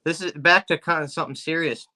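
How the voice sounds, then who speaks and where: Pennsylvania man, co-host, deadpan joker, bright tone. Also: fairly high pitch, fast. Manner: joking, deadpan tall tales. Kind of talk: casual chat.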